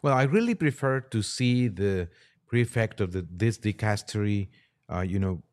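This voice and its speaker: Low-voiced man, halting priest guest. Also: expressive, a little mellow. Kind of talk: conversational religious commentary.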